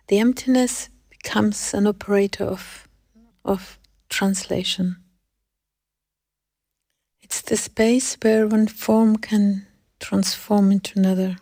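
A woman speaking English as a second language in a very mellow, relaxed voice, close and dry. They take their time over reflective talk about art, halting to find the right word. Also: mid-range voice, dry recording. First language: not English. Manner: halting, searching for words. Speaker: artist in conversation.

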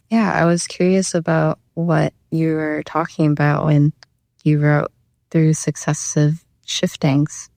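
A young woman from Canada whose first language is Cantonese, talking about her art in a hesitant, reflective way with many pauses and fillers. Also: low-pitched, vocal fry, a little mellow, no room sound. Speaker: artist. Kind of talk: casual conversation.

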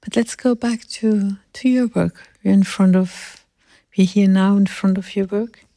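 A woman host speaks slowly and thoughtfully in a mellow, soft voice, weighing her words. Her phrases sometimes drop almost to a whisper. The sound is dry and close. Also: mid-range voice, fairly even intonation, dry recording. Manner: slow, thoughtful, measured. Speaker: host and artist. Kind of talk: radio interview.

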